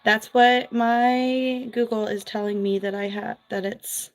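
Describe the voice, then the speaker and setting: A chatty woman with a Philadelphia accent, talking frankly and casually to her viewers. She throws in quick asides, and soft music plays faintly behind her. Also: a little high, fairly even intonation. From US East Coast, New Jersey. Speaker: vlogger, former nurse. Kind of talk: casual livestream chat reading viewer comments.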